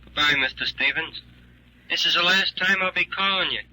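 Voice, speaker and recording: A man's voice: on the high side, normal speed, bright, forceful tone, a little hiss or hum, low-quality recording. An actor playing a menacing male stalker on the telephone, taunting and coldly threatening, in 1940s radio drama style. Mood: gloating threat.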